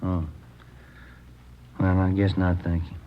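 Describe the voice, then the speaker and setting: A man playing a folksy police detective sidekick in an old radio drama, asking questions in a casual, low-key way. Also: monotone, takes it slowly. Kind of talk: 1940s radio acting. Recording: dull, noisy old radio recording.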